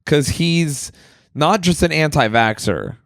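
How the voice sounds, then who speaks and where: A man comedian chatting on a podcast, his voice hoarse and crackly from overuse, talking loudly and swearing freely. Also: fairly high pitch, expressive, slow pace, no echo, studio quality. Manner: loud, emphatic, swears freely. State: hoarse, recovering voice. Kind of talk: casual podcast chat.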